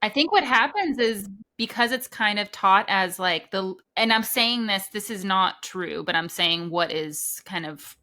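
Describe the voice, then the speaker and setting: A woman's voice: medium pitch, expressive, unhurried, few pauses, sharp tone. A woman co-hosting a casual podcast, hedging her points with many fillers. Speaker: podcast co-host.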